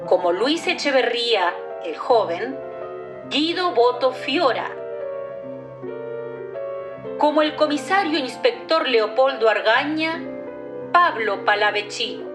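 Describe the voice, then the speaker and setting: Slow-paced woman presenter over music. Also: on the high side, expressive. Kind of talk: scripted credits announcement.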